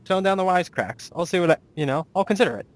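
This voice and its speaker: A man's voice: sits high, expressive, quick pace. A man hosting a casual interview as a writer and producer, talking in a rambling, self-deprecating way.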